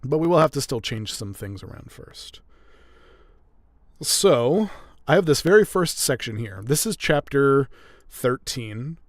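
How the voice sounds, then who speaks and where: A man's voice: mid-range voice, unhurried, no room sound, clean studio sound. A young man chatting casually and explaining his audio editing work as he goes. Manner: relaxed, chatty, laughs often, explains as he goes. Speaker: audiobook narrator and editor. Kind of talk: casual chat while editing.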